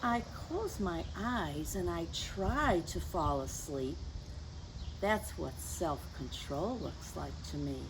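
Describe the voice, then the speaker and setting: Slow, very expressive woman reading to children. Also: fairly low pitch, background noise. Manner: gentle teacherly, addressing young children with questions and asides. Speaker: children's story time host and reader. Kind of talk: picture book read-aloud for children.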